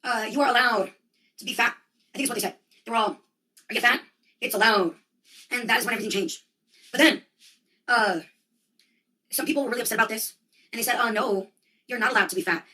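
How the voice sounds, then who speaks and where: A woman's voice: fairly high pitch, sharp tone, distant mic, low-quality recording. An American woman giving a rambling, casual monologue full of slang, acting out bits of mock dialogue as she goes. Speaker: monologue host.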